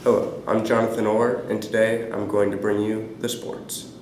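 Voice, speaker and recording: A man's voice: on the low side, little pitch movement, moderate pace, over music. A teenage male reading scripted sports news as a student presenter, recorded in an echoey room with noise. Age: teens.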